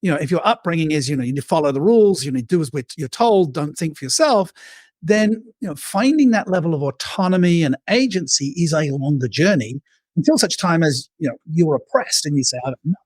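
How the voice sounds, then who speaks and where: English-born guy w/ aussie accent on a video call, kinda high voice, dry close sound, chats animated. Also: a little fast, breathy edge, dry recording. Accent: Australian-English. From England. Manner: animated storyteller, acts out quoted inner dialogue. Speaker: guest speaker on self-leadership. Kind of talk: conversational interview answers. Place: bright room with arched windows, indoors.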